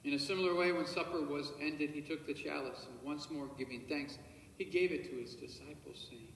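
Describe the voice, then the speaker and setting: Priest reciting mass prayers slow and solemn, far from the mic, big echoey church w long reverb. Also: male voice, fairly high pitch, fairly even intonation, a little hiss or hum. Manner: measured, solemn liturgical recitation, quiet murmured private prayers. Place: large church sanctuary at the altar. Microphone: far camera.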